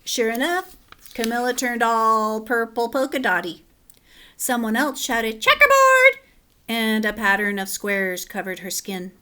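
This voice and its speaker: Relaxed teacher reading a story aloud. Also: female voice, fairly high pitch, unhurried. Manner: relaxed, gently instructive with fillers. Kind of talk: children's read-aloud.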